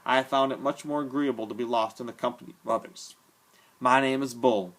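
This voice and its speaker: A man voicing an enormous, bearded, gentle drifter who speaks in a formal, genteel and playful way. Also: mid-range voice, fairly even intonation, fairly quick, a little hiss or hum, close to an everyday voice. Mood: genial.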